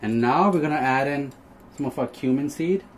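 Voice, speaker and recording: A man's voice: medium pitch, moderate pace. Young man hosting, kitchen noise behind. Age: young adult. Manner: explains step by step. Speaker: home cooking show host. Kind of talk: casual cooking demonstration. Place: small home kitchen, indoors.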